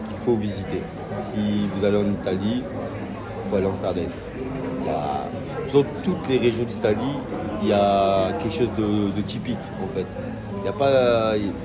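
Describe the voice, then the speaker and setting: A man from France chatting casually in a travel vlog, hesitant and full of fillers and restarts. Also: fairly low pitch. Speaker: vlogger. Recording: loud crowd noise behind.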